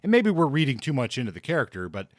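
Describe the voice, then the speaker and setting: A man with a mid-pitched voice talks books as a podcast co-host. He speaks casually and works out his points aloud, rewording often. The sound is dry, with very little room in it. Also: sing-song, quick pace, no room sound. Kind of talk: casual book discussion.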